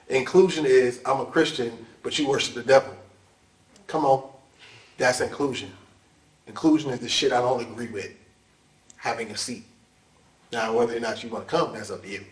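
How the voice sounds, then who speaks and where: A man in an informal panel talk, emphatic and plain-spoken, with preacherly asides. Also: medium pitch. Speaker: dance scholar and panel speaker. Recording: echoey hall, distant mic.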